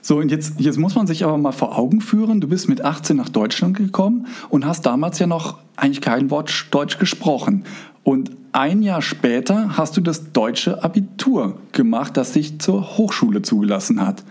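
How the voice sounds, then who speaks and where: A man's voice: fairly high pitch, lively intonation, normal speed, a little mellow, slightly rough. A man interviewing a guest, summarizing her story and prompting her with questions. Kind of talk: conversational interview.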